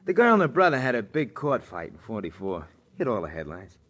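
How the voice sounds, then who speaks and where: An actor playing a chatty, streetwise cab driver with a breezy, slangy 1940s radio delivery. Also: male voice, medium pitch, big pitch swings, a little fast, fairly bright tone.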